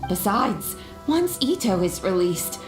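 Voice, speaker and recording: A woman playing a kindly, doting old granny in a video game, gentle and measured, proud and caring. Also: mid-range voice, sing-song, roomy sound, music in the background, poor audio. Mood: fond, proud. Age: older. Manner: gentle, measured, warmly reassuring. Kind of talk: video game character voice acting.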